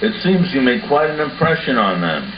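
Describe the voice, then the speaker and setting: A man's voice: mid-range voice, big pitch swings, moderate pace, lightly acted. A man playing a proud, kindly father in an amateur puppet show. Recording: muffled, echoey room with faint noise.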